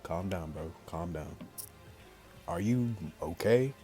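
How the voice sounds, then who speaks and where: A man's voice: low voice, lively intonation, slow pace. A man doing a let's play of a visual novel, reading the text aloud and tossing in slangy, jokey asides over game music. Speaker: gaming YouTuber. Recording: music behind the voice.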